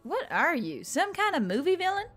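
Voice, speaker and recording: A woman's voice: very high voice, wildly swooping pitch, moderate pace, a bit bright, pitched above the performer's own voice, full character voice. A woman voicing a brash, mocking action heroine in a narrated story, with music behind her. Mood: annoyed, mocking. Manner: mocking, foul-mouthed, cocky. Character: brash, sarcastic, swaggering action heroine named Emily.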